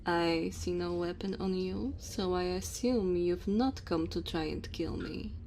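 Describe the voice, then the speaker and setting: ASMR roleplay casting: commanding, teasing she-dragon in human shape woman acting, unhurried theatrical delivery, faint background ambience. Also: on the low side, steady tone, gravelly, darkish tone. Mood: curious, amused. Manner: slow, teasing, theatrical, addressing the listener. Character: dominant, teasing female dragon with horns and human looks. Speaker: ASMR voice performer. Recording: faint cave ambience noise. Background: cave and fire sound effects.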